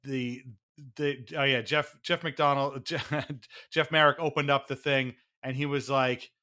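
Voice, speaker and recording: A man co-hosting a sports podcast in casual chat, animated and sardonic, mockingly acting out what others say. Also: medium pitch, a little slow. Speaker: podcast co-host.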